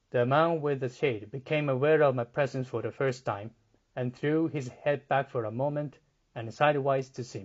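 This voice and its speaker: A male audiobook reader narrates literary fiction with dramatic care. His delivery is very slow and measured, at a medium pitch. The recording is a little muffled. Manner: measured, deliberate.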